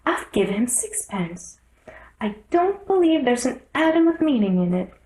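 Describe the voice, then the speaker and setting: An outspoken storybook girl voiced by a woman, bold and contrary. The voice is high and argumentative, with a roomy echo. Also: very animated, measured pace, a little mellow. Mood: bold, scornful. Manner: forthright, argumentative.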